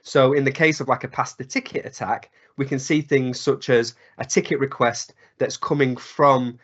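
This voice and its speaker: Man, hoarse from a cold, webinar presenter, mid-pitched voice, lots of ums and uhs. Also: steady tone, moderate pace, a bit bright. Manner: many ums and uhs, restarts. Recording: slightly dull webinar audio.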